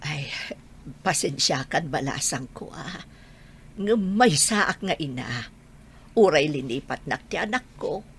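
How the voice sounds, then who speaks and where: A woman's voice: low voice, animated, slow pace, slightly rough, heavily acted. A woman playing a sorrowful old grandmother who longs for her son, speaking with an Ilocano accent in a plaintive, sighing way, as in a radio drama. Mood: sorrowful, gentle.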